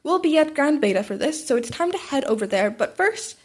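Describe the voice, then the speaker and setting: Woman putting on a loud, cheerful superhero teacher. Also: high voice, big pitch swings, brisk. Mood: rousing. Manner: loud, proclaiming.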